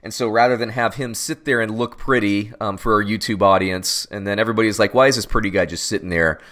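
A man's voice: fairly low pitch, steady tone, fast, fairly bright tone. A young man co-hosting a casual sports podcast, teasing his partner and rambling with restarts. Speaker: podcast co-host.

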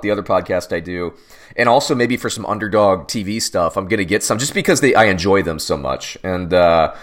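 A man's voice: on the low side, fairly bright tone. Joking, rambling male sports podcaster. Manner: joking, teasing, rambling with restarts. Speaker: podcast co-host. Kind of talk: casual sports podcast chat.

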